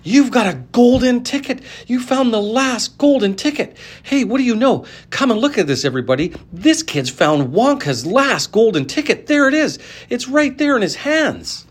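Man doing a fat shopkeeper, loud, high, frantic; husky edge. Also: moderate pace. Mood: ecstatic. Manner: high shrieking yell.

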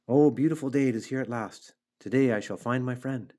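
A man's voice: mid-range voice, a little fast, mellow, lightly acted. A man voicing an eager, polite young pig in a storybook, earnest and exclamatory, stammering when flustered. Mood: hopeful.

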